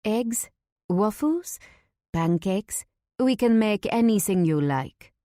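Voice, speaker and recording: A woman narrator plays a sweet young French waitress in a storybook romance. She speaks with a French accent, lifting the voice into a polite, obliging singsong. The sound is dry and soft. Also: mid-range voice, expressive, takes it slowly, no echo, voice pushed up. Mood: sweet, welcoming.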